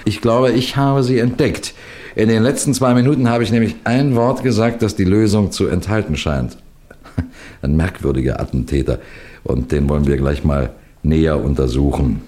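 An actor playing a shrewd, genial middle-aged detective who questions and narrates in a measured, gently teasing way, in the style of a 1960s German radio mystery. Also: male voice, deep voice, natural voice. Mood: sly. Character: shrewd, genial police detective narrating his own case.

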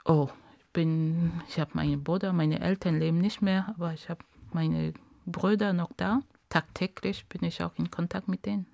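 Gravelly-voiced woman from Cameroon. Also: fairly low pitch, moderate pace, dry recording. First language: Bamileke. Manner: animated, searching for words, frequent laughs. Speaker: interview guest. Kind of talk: casual interview conversation.

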